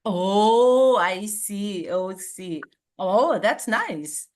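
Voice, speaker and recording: A woman hosting a podcast interview over a video call, talking warmly and effusively. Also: mid-range voice, expressive. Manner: warm, slow, effusive with frequent laughs. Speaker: podcast host. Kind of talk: casual interview chat. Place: home in Virginia, on a video call.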